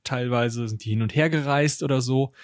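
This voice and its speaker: A man's voice: mid-range voice, moderate pace, no echo. Man chatting casually, dry close sound. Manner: colloquial, slangy, jokey asides. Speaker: history podcast co-host. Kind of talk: casual conversational history discussion.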